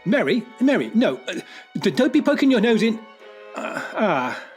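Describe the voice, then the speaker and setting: A man's voice: high-pitched, sing-song, normal speed, faint music behind. An actor playing a disapproving, whiskey-drinking Edwardian man, grumbling and domineering in an audio drama.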